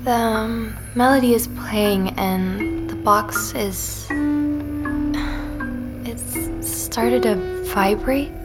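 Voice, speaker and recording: A woman playing a shaken young woman who records a hurried, halting account in a naturalistic audio drama, sighing between phrases. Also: a little high. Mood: uneasy awe. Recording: music underneath.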